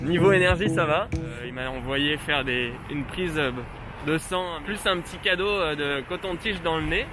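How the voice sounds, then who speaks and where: A man's voice: a little high, normal speed. A man chatting casually as a travel vlogger. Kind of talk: casual chat. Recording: music behind the voice.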